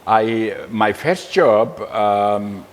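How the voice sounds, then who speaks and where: An older man from Cyprus, recalling his life in an interview in a halting, repetitive way. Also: fairly low pitch. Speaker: interview guest. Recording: reverberant room with background noise.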